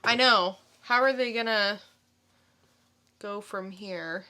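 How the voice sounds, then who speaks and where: A woman's voice: medium pitch, slow pace, sharp tone. A woman co-hosting a podcast, talking casually and giving informal recaps. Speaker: podcast co-host. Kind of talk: casual chat and informal review.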